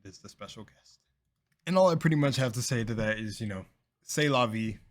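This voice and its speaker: A young man with a slight lisp, hosting a casual reaction video, rambling with lots of fillers and jumping between loud bursts and quiet mumbles. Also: medium pitch, expressive, brisk.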